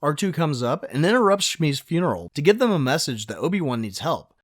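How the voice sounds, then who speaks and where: Wry, lively man narrating a comic recap. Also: on the high side, a little fast, dry recording, clean studio sound. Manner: wry, sarcastic. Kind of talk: casual, joking scripted narration.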